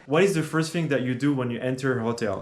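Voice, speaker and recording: A man hosting a casual travel vlog, upbeat and quick, laughing often, recorded on an action camera. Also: mid-range voice. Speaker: vlog host.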